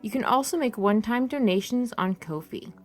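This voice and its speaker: A woman's voice: fairly high pitch, a little fast. A woman reading scripted end credits for a podcast as an announcer. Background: music.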